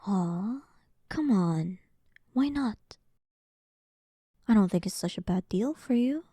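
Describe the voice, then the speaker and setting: A woman voicing a dominant, sarcastic demon queen in a roleplay audio, soft and breathy, with a teasing, condescending manner. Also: medium pitch, animated, slow pace, dry recording. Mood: coaxing, smug. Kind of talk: scripted roleplay audio, intimate one-sided dialogue.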